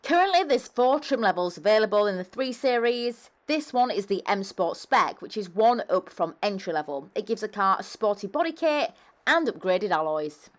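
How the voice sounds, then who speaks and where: A woman's voice: medium pitch, lively intonation, normal speed. A woman reading an upbeat, scripted voice-over for a car review. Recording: voice-over with faint music underneath.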